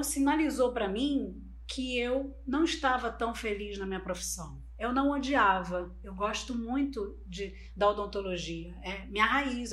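A woman's voice: a little high, fairly bright tone. Chatty middle-aged woman telling a story. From Brazil. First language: Spanish. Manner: chatty storyteller, often adds "né". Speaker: guest. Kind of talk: casual interview chat.

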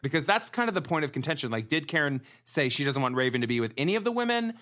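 A man's voice: a little high, big pitch swings, a bit bright. Chatty man recapping reality TV. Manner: chatty, fast, fillers and repeated restarts. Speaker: podcast co-host. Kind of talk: casual recap chat.

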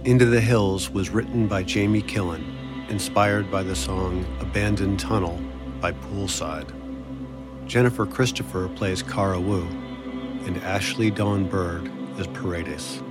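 A man's voice: low-pitched, fairly even intonation, measured pace. A man reading scripted closing credits as the announcer of an audio drama. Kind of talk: scripted narration. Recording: loud music bed under voice.

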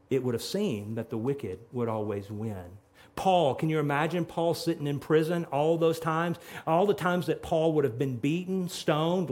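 A middle-aged man preaching a sermon in a church, earnest, with frequent restarts and repeated phrases. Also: on the high side. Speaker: pastor. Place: church sanctuary.

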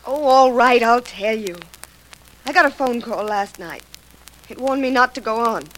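An actress playing a frightened, distressed stage star in an old radio drama, hesitant and anxious. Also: female voice, on the high side, moderate pace, fairly bright tone, natural voice. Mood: confiding, anxious. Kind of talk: 1940s-50s radio drama acting. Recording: noisy old radio recording.

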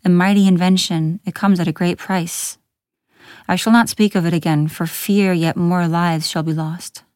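A woman voicing a towering, bitter man in a storybook, with a gruff growl and brusque, old-fashioned, foreboding speech. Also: lowish voice, fairly even intonation, moderate pace, a little mellow, dry recording, studio quality, natural voice. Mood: grave, foreboding.

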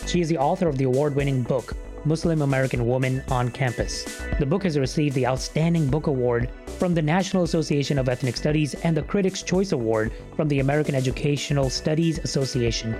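A man's voice: mid-range voice, darkish tone, soft background music. Man hosting a podcast, scripted. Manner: measured, reads from a script. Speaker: podcast host.

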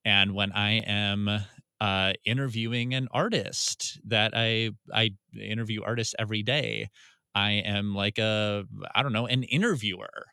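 Low bright-voiced man in his thirties. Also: fairly even intonation, unhurried, vocal fry, smooth, no echo, clean studio sound. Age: 30s. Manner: searching, full of restarts and "like". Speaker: radio music director and DJ. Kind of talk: reflective interview answers.

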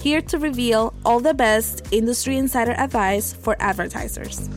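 Woman, podcast host, scripted narration, fairly high voice, music behind. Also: normal speed.

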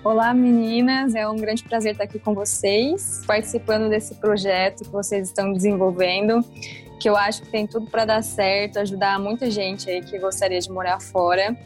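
A woman's voice: mid-range voice, flat delivery, moderate pace, soft background music. A young adult woman with a rural interior São Paulo accent, telling her experiences casually as a podcast guest. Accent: rural interior São Paulo Brazilian (caipira). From interior of São Paulo state, Brazil.

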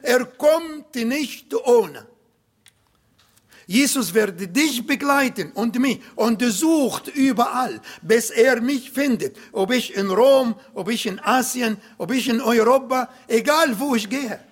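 A man preaching a sermon in German with an Arabic accent, non-native grammar and emphatic, slowly built phrases. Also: very high voice, lively intonation. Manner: emphatic, slow, swells louder on key points.

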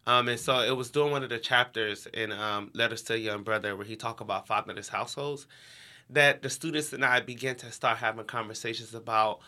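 Interview guest: American male, young adult, crisp bright timbre, informal conversational delivery. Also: medium pitch, brisk. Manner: informal, heavy use of "like". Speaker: interview guest, education nonprofit co-founder.

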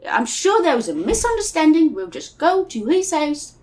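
A small, unworried British boy, put on by a woman, very high and lilting. The accent leans cockney and the manner is polite and childlike, with big swings in pitch. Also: sing-song, normal speed, a little mellow. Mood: reassuring. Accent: British, cockney-leaning.

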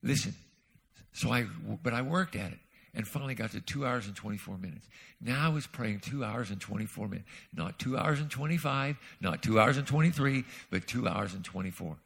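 A man preaching as a guest speaker, telling a personal story in an animated way and swinging between quiet asides and loud outbursts. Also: medium pitch, fairly quick, gravelly, husky. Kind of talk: sermon storytelling.

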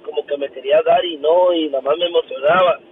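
A man's voice: on the high side, fairly even intonation, quick pace. A young man with a Mexican accent calling into a radio show, eager and laughing as he talks. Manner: eager, laughing, repeats the host's name. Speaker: caller. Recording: dull phone-call audio with faint noise.

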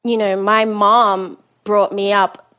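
A woman's voice: mid-range voice, little pitch movement, slow, pushed and bright, smooth. A woman in her thirties with an Australian accent, chatting as a podcast guest, laughing often and restarting her sentences. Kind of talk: casual interview.

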